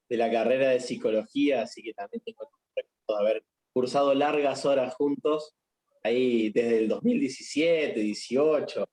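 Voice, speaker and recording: A young man with an Argentine accent speaks over a video call, modest and friendly, pausing on fillers. His voice is dark and mellow at a middle pitch. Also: poor audio. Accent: Argentine Spanish. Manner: warm, modest, with many fillers. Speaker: chaplain and speaker. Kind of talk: casual introduction before a talk.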